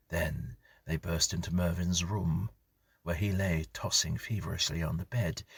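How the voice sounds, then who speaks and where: Very husky, low-pitched male horror narrator. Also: a bit bright, no room sound. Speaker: narrator, story reader. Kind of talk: scripted horror story narration.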